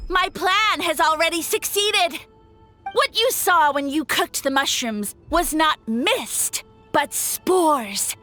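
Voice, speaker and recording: A woman's voice: high-pitched, sharp tone, exaggerated voice. A woman voicing a haughty, scheming mushroom villain who poses as a god, pompous and theatrical, in a video game. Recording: music behind the voice.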